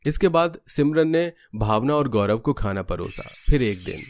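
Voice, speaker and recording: A man's voice: medium pitch, lively intonation, normal speed. Male cartoon-story narrator over music.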